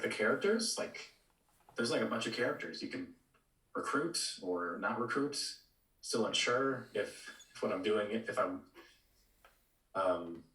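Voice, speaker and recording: A man chatting casually about video games as a podcast co-host, halting and tentative, often repeating his words. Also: lowish voice, pushed and bright. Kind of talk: casual video game discussion. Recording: roomy echo, distant from mic.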